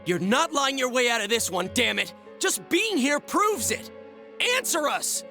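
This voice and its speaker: Hot-headed, high-pitched teenage boy. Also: big pitch swings, unhurried, vocal fry, faint music behind, exaggerated voice. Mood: angry accusing. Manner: forceful, accusing. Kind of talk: dramatic video game voice acting.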